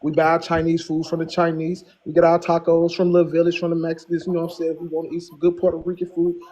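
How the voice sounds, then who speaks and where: Earnest guy on a call-in, kinda husky, talks in circles. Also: fairly high pitch, monotone, fast, darkish tone. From Chicago, USA. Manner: earnest, rambling, restarts often. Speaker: caller. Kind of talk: casual call-in talk.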